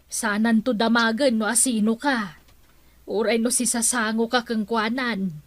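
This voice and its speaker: Woman as gossipy neighbour, fairly high voice. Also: fairly even intonation, unhurried, no echo, lightly acted. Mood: teasing, gossipy. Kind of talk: comic radio drama acting.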